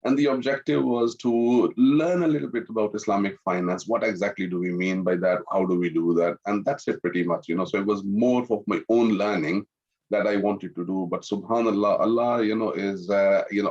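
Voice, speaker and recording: A man's voice: fairly low pitch, fairly quick, a little mellow, breathy edge, highs cut off. A middle-aged man from Pakistan and France speaking courteously and conversationally as a webinar guest, often adding small asides. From Pakistan-born, grew up in France. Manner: courteous, with frequent "you know" asides. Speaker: webinar guest, Islamic finance professional. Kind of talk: conversational personal introduction in a webinar.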